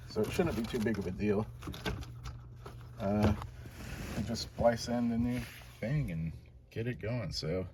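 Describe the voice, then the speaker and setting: A man's voice: on the low side, a little hiss or hum. A man in his late thirties narrating a hands-on garage project in an informal, laid-back, self-deprecating way, like a casual vlog. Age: late 30s. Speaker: car restoration vlogger.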